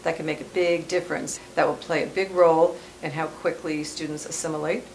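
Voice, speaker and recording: A woman who teaches English as a second language gives thoughtful, measured interview answers, pausing often to think. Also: sits low, steady tone, a little mellow, slight background noise, low-quality recording. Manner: thoughtful, measured, with frequent "um" pauses. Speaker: ESL teacher. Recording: music bed under voice.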